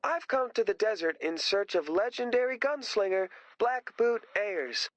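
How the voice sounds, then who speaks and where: A cocky rock drummer from a video game, fronting his own show. His pitch swings widely as he promotes himself with grandiose, dramatic showmanship. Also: animated, a little slow, no room sound, muffled recording. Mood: boastful. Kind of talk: video game voice acting as a showy TV presenter.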